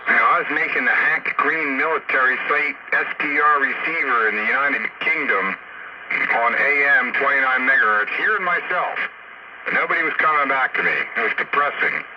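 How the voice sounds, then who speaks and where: A man's voice: medium pitch, lively intonation, moderate pace. An American man chatting casually as an amateur radio operator over a radio link. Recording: dull, very noisy radio transmission. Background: heavy radio noise.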